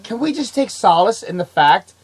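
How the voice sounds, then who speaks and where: Sick, excitable male podcast host. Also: high-pitched, slightly rough. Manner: excitable, rambling, quick to laugh. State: sick, coughing. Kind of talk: casual chat.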